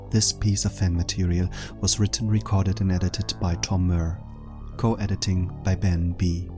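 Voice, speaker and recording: Low-voiced man reading credits over music. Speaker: credits announcer. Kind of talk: scripted credits narration.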